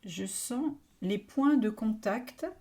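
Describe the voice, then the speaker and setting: Woman, meditation guide, very slow pace, soft dark tone, calm, soothing, long pauses. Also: mid-range voice. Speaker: yoga nidra meditation guide.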